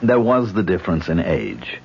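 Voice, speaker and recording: A man's voice: sits low, big pitch swings, normal speed, gravelly, a little hiss or hum, heavily acted. An actor playing a haunted male actor who is defensive and exasperated, in a radio drama. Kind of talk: 1970s radio drama acting. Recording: lo-fi old radio broadcast.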